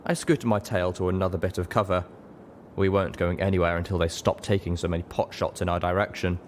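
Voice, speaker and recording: A man with a low voice narrates a first-person story. He reads from a script as an audiobook narrator, and a faint ambient noise sits behind his voice. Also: steady tone, fast. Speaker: audiobook reader.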